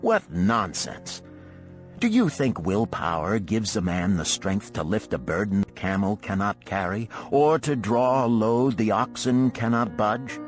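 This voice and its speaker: A man reading as an emphatic, animated wealthy sage. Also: on the low side. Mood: scornful, resolute. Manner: rhetorical, formal, emphatic oratory. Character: wealthy wise older Babylonian man, emphatic, instructive, self-assured. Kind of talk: dramatised storybook parable reading.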